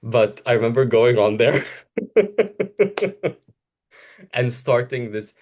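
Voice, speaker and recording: A man's voice: medium pitch, steady tone, slow pace, darkish tone. A young man from Egypt whose first language is Arabic, co-hosting a casual chat, laughing often and talking in rambling restarts. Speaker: co-host. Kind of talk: casual conversation.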